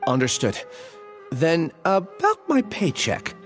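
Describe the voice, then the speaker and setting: An obliging junior office worker in a video game. He sounds polite and earnest, with a fairly high male voice that moves a lot in pitch, over faint music. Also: wildly swooping pitch, slow, full character voice. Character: apologetic, obliging male part-time research office worker. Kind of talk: video game character dialogue.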